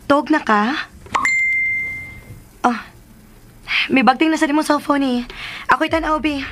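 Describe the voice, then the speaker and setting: An actress playing a sweet, innocent young wife in a radio drama, with a coy, affectionate manner. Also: female voice, high voice, a little slow, over music. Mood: surprised, innocent. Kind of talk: melodramatic radio drama acting.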